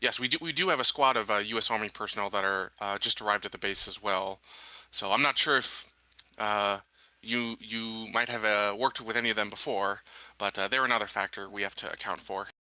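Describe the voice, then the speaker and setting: Man playing a bright, hesitant, courteous colony marshal. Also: on the low side, fairly quick, no room sound, rough recording. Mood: courteous, measured. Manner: hesitant, formal, polite. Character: courteous colony marshal interviewing a new recruit. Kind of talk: improvised in-character tabletop roleplay.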